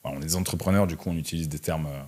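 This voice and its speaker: Very deep-voiced young man, casual interviewee. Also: steady tone, rapid-fire, darkish tone. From Russia. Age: 20s. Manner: informal, slangy, frequent "tu vois" tags. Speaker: interview guest, entrepreneur and online content creator. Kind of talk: casual conversational interview answers.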